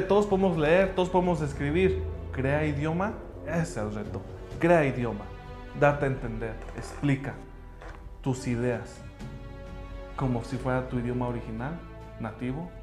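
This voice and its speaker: English-native guy giving a pep talk for his school, earnest and emphatic, echoey room, faint music behind. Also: mid-range voice. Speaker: language school director. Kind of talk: motivational promotional talk. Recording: roomy echo with faint music.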